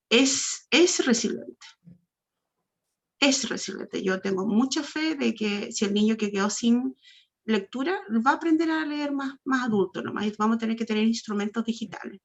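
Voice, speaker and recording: A woman with a Chilean accent speaking on a panel over a video call, talking earnestly and restarting her sentences. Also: medium pitch. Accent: Chilean Spanish. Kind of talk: casual panel talk. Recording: dull lo-fi video call audio.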